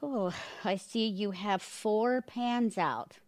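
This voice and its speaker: A woman playing a brisk, bossy, no-nonsense wife in an audio theater comedy, with a dry, blunt manner. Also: medium pitch, darkish tone, no echo, close to an everyday voice. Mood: irritated.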